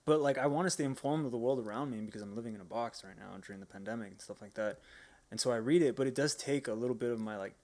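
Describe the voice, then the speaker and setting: A man's voice: mid-range voice, very fast, a little mellow. Informal, slangy man podcasting. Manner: informal, slangy, rambling, sprinkles "dude" and "like". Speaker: podcast co-host. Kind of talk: casual podcast discussion.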